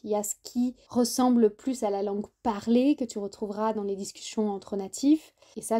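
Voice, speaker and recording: Mellow, higher-pitched woman hosting a podcast. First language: French. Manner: didactic, conversational, addresses listener directly. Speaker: podcast host and French tutor. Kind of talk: solo explanatory podcast talk, informal.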